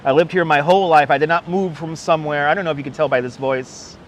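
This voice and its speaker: A man from Brooklyn with a faint New York accent chats his way through a live street stream, rambling and greeting viewers by name. His voice sits a little high. He talks into a clip-on mic outdoors, with soft city traffic humming behind him. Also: steady tone, fast. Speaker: livestream host. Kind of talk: casual live-stream chat while out in the city. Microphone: wireless clip-on mic. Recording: street noise in the background.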